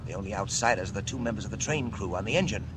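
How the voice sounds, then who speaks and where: A man's voice: lowish voice, very fast, reverberant, distant mic, close to an everyday voice. A man acting a cold, gloating crime boss in 1940s radio drama style, savouring his words with sinister menace. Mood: cold, scornful. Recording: old 1940s radio, music behind.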